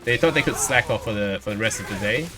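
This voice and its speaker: A man's voice: on the low side, fast. A man doing casual, playful live video game commentary, talking to himself and his viewers. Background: video game music.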